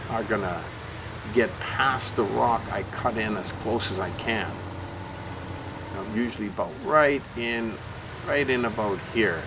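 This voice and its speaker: Folksy man commentating over engine noise. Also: mid-range voice. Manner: explanatory, folksy, repeats himself. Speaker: gaming channel host. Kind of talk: casual gameplay commentary.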